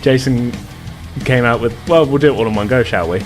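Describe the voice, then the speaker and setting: Male British podcast host, mid-pitched, informal and conversational, light guitar music underneath. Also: fairly quick. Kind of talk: casual chat.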